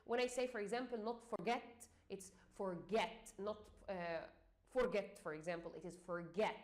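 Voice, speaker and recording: A woman's voice: medium pitch, normal speed. A woman with an Egyptian accent giving a teaching talk, explaining with frequent fillers and restarts. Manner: hesitant, many fillers, repeats and restarts. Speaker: English exam teacher.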